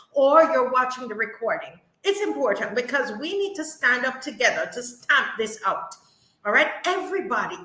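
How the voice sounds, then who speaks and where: A woman's voice: high-pitched, bright, forceful tone, reverberant, poor audio. A woman hosting a live-streamed talk show, speaking earnestly and greeting viewers directly.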